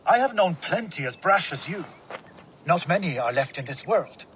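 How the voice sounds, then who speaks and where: A skeptical veteran Viking warlord in a game drama. His voice sits fairly high and swings in pitch, with blunt, weary doubt in the delivery. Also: very animated, normal speed, a bit bright, slight background noise, poor audio. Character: gruff, skeptical seasoned male Viking warlord. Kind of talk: video game drama acting.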